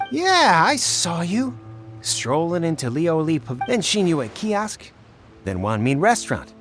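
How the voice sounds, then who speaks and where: Game casting: oily, blustering street con boss, high-pitched and very animated. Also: male voice, slow pace, faint music behind, heavily acted. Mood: pushy. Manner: wheedling, blustering. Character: pushy, oily male street swindler, gang boss. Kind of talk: video game voice acting.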